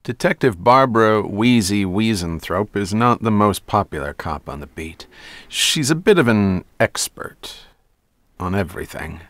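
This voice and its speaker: A hard-boiled male detective narrates a radio mystery in a low voice with a very dry, close sound. He is wry and casual, full of slang and wisecracks. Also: animated, unhurried, fairly bright tone, dry recording.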